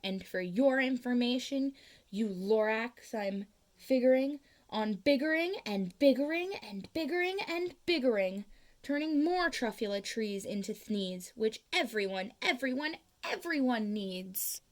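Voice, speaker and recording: A woman's voice: on the high side, lively intonation, unhurried. A woman playing a greedy, defiant male industrialist from a storybook, blustering and self-justifying. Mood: angry, defiant. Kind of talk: storybook read-aloud.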